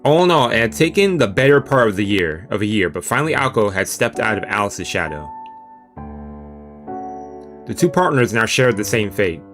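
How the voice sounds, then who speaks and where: A man's voice: lowish voice, big pitch swings, moderate pace, fairly bright tone. A male streamer reading a visual novel aloud expressively, mixing narration with casual, playful reactions. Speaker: let's play streamer. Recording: music playing behind the voice.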